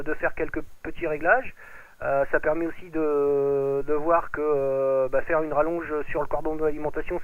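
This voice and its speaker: A man chatting casually as an amateur radio operator, with hesitant, drawn-out phrasing, over a narrow radio transmission. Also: on the high side, dry recording. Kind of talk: casual radio contact chat, handing over the mic.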